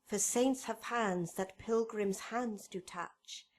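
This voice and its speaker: An actress playing a young lovestruck noblewoman in a Shakespearean radio drama, speaking measured verse. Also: a little high, a little mellow. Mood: tender, coy. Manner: slow, measured verse delivery.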